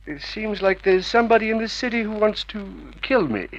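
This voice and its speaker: An actor playing a giggly, teasing joke shop owner and husband in an old radio drama. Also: male voice, high-pitched, lively intonation, normal speed, gravelly. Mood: gleeful, teasing. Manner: teasing, constantly giggling and chuckling. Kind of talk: mid-century radio drama acting. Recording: muffled vintage radio drama recording.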